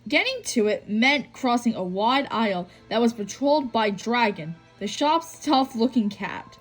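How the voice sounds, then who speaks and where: A woman's voice: on the high side, expressive, measured pace, rough recording. A woman reading a children's story aloud, now and then tripping over a phrase and reading it again. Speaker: storybook reader. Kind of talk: scripted read-aloud narration of a children's story. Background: music.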